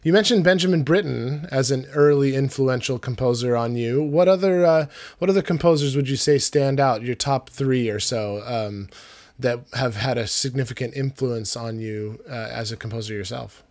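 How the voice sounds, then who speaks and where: A man's voice: medium pitch, moderate pace, darkish tone, dry recording. A man hosting a podcast, asking a guest conversational follow-up questions. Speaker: podcast host. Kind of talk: podcast interview.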